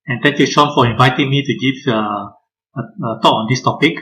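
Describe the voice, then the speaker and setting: Man, 50s, guest webinar speaker; measured, hesitant, mid-pitched. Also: sharp tone, slight background noise, low-quality recording. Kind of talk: online webinar presentation.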